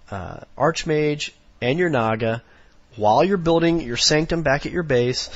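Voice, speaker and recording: A hoarse, sniffly man with a raspy voice, rambling through casual strategy commentary with lots of fillers. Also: mid-range voice, dry recording, highs cut off. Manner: rambling, many fillers, instructional. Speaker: game commentator.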